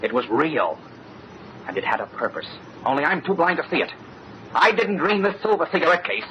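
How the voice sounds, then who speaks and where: An actor playing an earnest, agitated young fiancé in an old-time radio mystery, speaking with dramatic insistence. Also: male voice, fairly high pitch, lively intonation, a little fast, lightly acted. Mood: agitated, insistent. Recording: muffled, noisy old radio recording.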